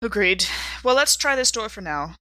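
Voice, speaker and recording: A woman's voice: mid-range voice, animated, normal speed, bright, forceful tone, no echo. A woman improvising in character as a player in a tabletop role-playing game.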